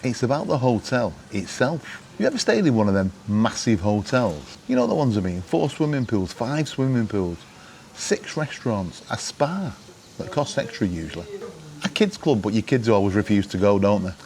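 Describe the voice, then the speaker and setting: A man's voice: fairly low pitch, very animated, normal speed, a little mellow, slight background noise. A middle-aged British man presenting to camera in an informal, wry, chatty way, often putting questions to the viewer. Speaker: vlogger presenting gadget advice.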